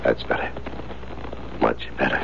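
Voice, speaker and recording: An actor playing a sardonic, menacing madman husband in a 1970s radio drama, gravelly and slow, with mock politeness. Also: natural voice. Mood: sardonic menace. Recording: noisy, dull 1970s radio broadcast.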